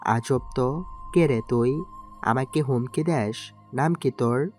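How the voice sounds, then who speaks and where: A man's voice: medium pitch, slow pace, darkish tone, soft background music, natural voice. A man playing a cold, mocking young mafia boss in an audio drama, speaking in a commanding way. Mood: defiant. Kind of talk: Bengali audio story acting.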